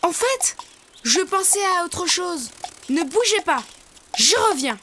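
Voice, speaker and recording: Tiny high cartoon critter, super animated, helpful and upbeat, explains things to kids. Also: takes it slowly, slight background noise, full character voice. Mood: reassuring, helpful. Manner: reassuring, clear step-by-step explaining. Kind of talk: children's cartoon dialogue and drawing instruction. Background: music.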